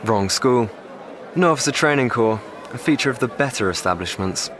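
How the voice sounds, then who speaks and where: An actor playing an educated, articulate young English private of the First World War, speaking formally with dry irony in an audio drama. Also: male voice, mid-range voice, expressive, normal speed, a bit bright, a faint crowd, close to an everyday voice. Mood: dry, composed. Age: young adult. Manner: formal, wordy, dryly ironic.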